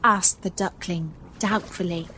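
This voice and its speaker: A woman narrating a scripted children's story in a measured, expressive manner. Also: fairly low pitch, slight background noise.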